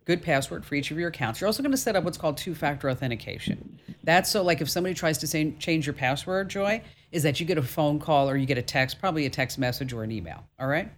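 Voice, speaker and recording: A radio host woman talks callers through tech problems, chatty and upbeat, filling her talk with "like" and "okay." There is faint noise under her voice. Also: sits low. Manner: chatty, upbeat and reassuring, with many "like" and "okay" fillers. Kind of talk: call-in tech advice show.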